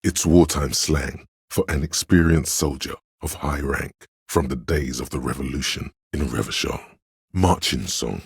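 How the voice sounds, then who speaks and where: A man voicing an encyclopedic inner voice in a video game, learned and solemn, narrating in a measured, erudite way. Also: extremely low pitch, slightly rough, dry recording, clean studio sound, heavily acted. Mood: grave, reflective. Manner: measured, erudite, declarative delivery.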